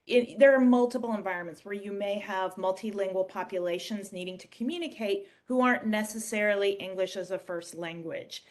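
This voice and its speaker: A woman presents a software demo with a medium-pitched voice. Her delivery is conversational and explanatory, like someone casually guiding viewers through a shared screen.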